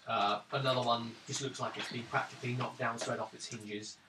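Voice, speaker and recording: A man acting as game master, narrating a tabletop roleplaying game in a halting, thinking-aloud way. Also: mid-range voice, little pitch movement. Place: indoors, around a gaming table. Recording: roomy, distant mic, hissy background noise.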